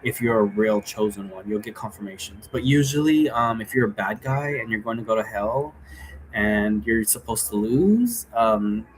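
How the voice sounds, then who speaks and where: A man hosting in a casual, rambling, explanatory way, halting often and checking in with the listener. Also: fairly low pitch, expressive, low-quality recording. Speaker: host explaining role-play game rules. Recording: noticeable background noise.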